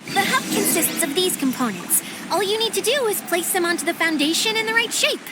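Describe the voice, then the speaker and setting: A woman's voice: very high voice, expressive, a little fast, heavy background noise. A woman voicing a shy but cheerful female water spirit mascot in a video game cutscene, bubbly and easily flustered. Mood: bubbly, confident.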